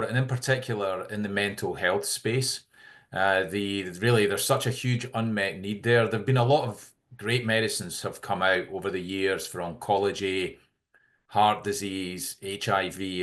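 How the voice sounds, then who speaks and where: A middle-aged man with a Scottish accent, giving measured, explanatory answers in a conversational interview as a podcast guest. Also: on the low side, a bit bright. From Scotland. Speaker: podcast guest, tech company CEO.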